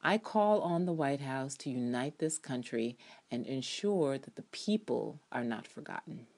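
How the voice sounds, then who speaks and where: A woman's voice: extremely low pitch, expressive, a little slow, darkish tone, exaggerated voice. Woman reading as a solemn political widow. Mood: solemn, aggrieved. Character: grave political widow making a televised public statement.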